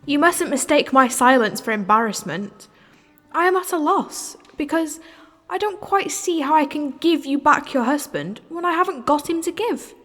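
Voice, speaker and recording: Audio drama casting: a worldly, condescending stage star, high voice with animated, theatrical swoops, reverberant hall. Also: female voice, normal speed, faint music behind. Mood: cool, bemused. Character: poised, condescending, worldly successful stage actress.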